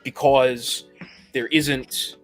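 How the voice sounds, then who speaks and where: A man's voice: medium pitch, little pitch movement, drawn-out words, faint music behind. A man casually debating tabletop games as a panelist on a podcast.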